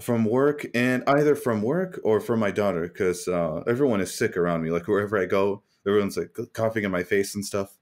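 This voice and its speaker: A man with a cold co-hosts a casual gaming podcast, his voice sitting low and deepened by a sore throat. He talks in a laid-back, easygoing way. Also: a little fast, few pauses. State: has a cold, voice deeper than usual. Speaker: podcast co-host.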